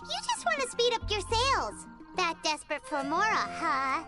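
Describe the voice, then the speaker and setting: A very high, childlike game fairy, blunt and pert. The pitch swoops about as the little creature teases and grumbles. Prominent game music plays behind. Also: female voice, big pitch swings, normal speed. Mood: sassy, exasperated. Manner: blunt, teasing, exasperated. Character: tiny floating fairy companion, childlike, sassy and grumbling. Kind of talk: animated video game dialogue.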